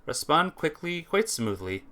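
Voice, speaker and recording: A man reading a visual novel aloud as a let's play narrator, with expressive, earnest storytelling delivery. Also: a little high, a little slow.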